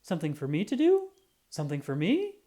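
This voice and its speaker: A woman voicing an eager, excitable little male pickup truck in a children's storybook, pleading to help. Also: sits low, fairly quick. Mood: hopeful, excited.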